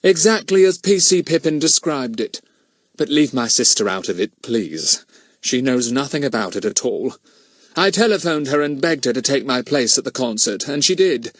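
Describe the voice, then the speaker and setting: A man's voice: medium pitch, lively intonation, moderate pace, no echo, studio quality, pitched above the performer's own voice, close to an everyday voice. Man acting a cornered, resentful culprit. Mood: resigned confession. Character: cornered male performer, confessing, resentful yet protective.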